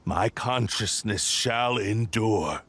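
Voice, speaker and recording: An actor playing an arrogant, vengeful cursed sword spirit with haughty, theatrical menace in a video game. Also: male voice, fairly low pitch, takes it slowly, gravelly, fairly bright tone, a little hiss or hum, exaggerated voice. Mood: furious defiance. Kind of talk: dramatic video game voice acting. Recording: music behind the voice.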